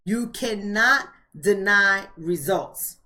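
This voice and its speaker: A woman's voice: mid-range voice, slow, sharp tone. A forty-year-old American woman hosts a casual live online chat in a mid-range voice. She talks directly to her viewers in colloquial language. She often repeats a phrase to drive it home emphatically. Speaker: host of a live online book club.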